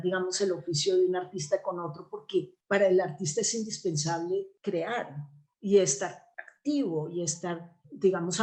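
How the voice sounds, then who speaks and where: A woman's voice: mid-range voice, a little mellow, low-quality recording. Woman explaining in an interview. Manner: explanatory, discursive. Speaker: interview guest, theatre actress and playwright. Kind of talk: conversational interview answers.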